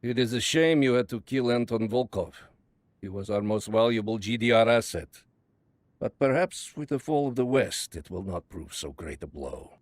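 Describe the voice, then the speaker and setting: An actor playing a calm, calculating Soviet spymaster, speaking in a measured, ominous and grandiose way in a video game cutscene. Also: male voice, fairly low pitch, dry recording, lightly acted. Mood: cold, resolute.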